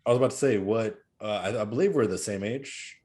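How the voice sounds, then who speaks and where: Twenties male host, very low voice, easygoing and chummy. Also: fairly quick, darkish tone. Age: 20s. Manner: easygoing, short affirmations, casual slang. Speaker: podcast host. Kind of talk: casual interview.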